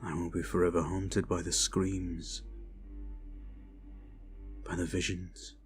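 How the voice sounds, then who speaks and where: A weary knight captain of a fantasy war drama, acted by a man. His voice sits fairly low. He speaks in measured, formal phrases, solemn and haunted. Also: level tone, faint music behind. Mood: haunted, somber. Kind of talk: fantasy audio drama acting.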